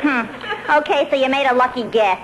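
An actress playing a brassy, vain, dim-witted would-be singer, sassy and bickering, in 1950s radio comedy. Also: female voice, high voice, a little fast, a loud crowd, close to an everyday voice. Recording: very noisy old radio recording.

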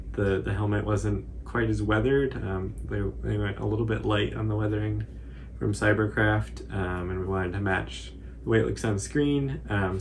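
A man, chatting casually and modestly through a tutorial. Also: on the low side. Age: 20s. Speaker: cosplay channel host. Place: small room indoors on a couch. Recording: faint background noise.

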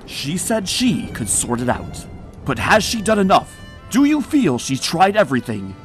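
A man's voice: high-pitched, wildly swooping pitch, moderate pace, soft background music. A man voicing an earnest cartoon pony news reporter, grave and overwrought in a mock broadcast style. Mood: grave, probing.

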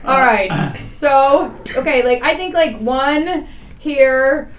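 A giggly American woman with a fairly high voice chats casually as a radio co-host. She is caught in a roomy studio with some background noise. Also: lively intonation, slow pace. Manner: giggly, chatty. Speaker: radio morning show co-host. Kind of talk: casual on-air banter. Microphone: studio mic picked up by a camera at a distance. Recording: roomy, distant camera audio with noise.

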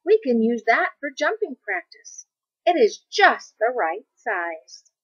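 A woman voicing a cocky, playful hare in a storybook, exclaiming excitedly. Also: on the high side, takes it slowly, husky, no room sound, dull audio, voice pushed up, heavily acted.

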